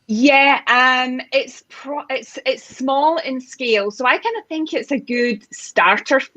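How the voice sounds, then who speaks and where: A Scottish woman working as a tour guide, chatting over a live video call in a relaxed way that often trails off mid-sentence. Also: high voice, a little slow, dry recording. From Scotland.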